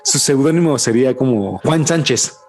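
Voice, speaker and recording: A man with a Mexican accent, co-hosting a podcast in an excitable, slang-heavy casual chat. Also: medium pitch, normal speed, faint music behind. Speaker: podcast co-host.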